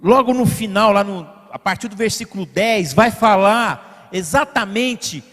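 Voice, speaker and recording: Man from Brazil, pastor, sermon, high voice, long church reverb, surges and drops in force. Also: a little slow. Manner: rising to emphatic peaks, then dropping to quiet asides; directs the slide operator mid-sermon. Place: church sanctuary.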